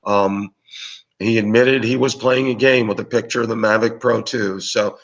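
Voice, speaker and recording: Raspy, hoarse man, low voice. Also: steady tone, moderate pace, dull audio. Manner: rambling, with many fillers and restarts. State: hoarse from bronchitis. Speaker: livestream show host. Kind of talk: casual live-stream chat with viewers.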